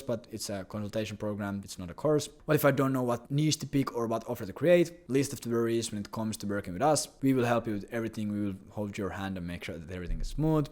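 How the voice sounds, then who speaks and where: Blunt Finnish-accented man pitching. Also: darkish tone. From Finland. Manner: blunt, confident, swears casually. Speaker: business coach. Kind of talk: sales pitch to camera.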